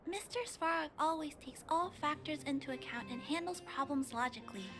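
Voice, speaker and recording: An actress playing a gentle, earnest young girl in a video game, speaking softly and politely. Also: high-pitched, fairly quick. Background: game music.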